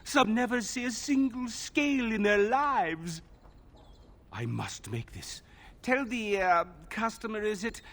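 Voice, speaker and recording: An actor playing an excitable, temperamental perfectionist armorsmith in a fantasy video game, theatrical and fussy. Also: male voice, sits high, slow pace, heavily acted. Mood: awed, eager. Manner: theatrical, fussy, gushing and petulant.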